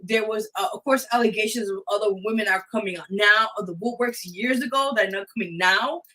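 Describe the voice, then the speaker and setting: A woman's voice: medium pitch, brisk, bright, forceful tone, poor audio. A woman hosting a casual live talk show, speaking in an emphatic, blunt way. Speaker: radio show host.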